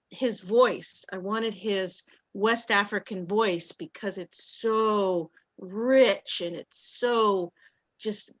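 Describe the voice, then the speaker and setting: A woman's voice: mid-range voice, fairly bright tone. A woman speaking reflectively as a theatre director in an interview, over a dull, roomy video call.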